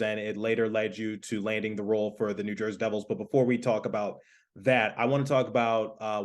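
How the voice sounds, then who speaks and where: A man from Michigan hosting a sports podcast, asking questions in a halting way with many fillers. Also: fairly low pitch. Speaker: podcast host, hockey play-by-play announcer. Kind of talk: casual interview chat.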